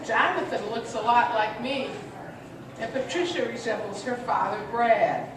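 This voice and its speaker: A woman's voice: fairly high pitch, a little slow, lightly acted. Older woman as wistful radio-play mother, reflective delivery, far from the mic, noisy recording. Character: greying remarried mother, wistful, hurt yet devoted. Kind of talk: amateur radio play monologue. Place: echoey room at a senior center. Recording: roomy echo, distant mic, clear noise.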